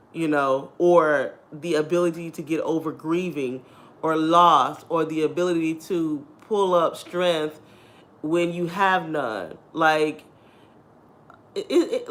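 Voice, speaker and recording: A woman in her thirties with a deep, husky voice, talking candidly to her viewers in a casual chat and laughing often. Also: a bit bright. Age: 30s. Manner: chatty and candid, laughs often, addresses viewers as "girl" and "y'all". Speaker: vlogger.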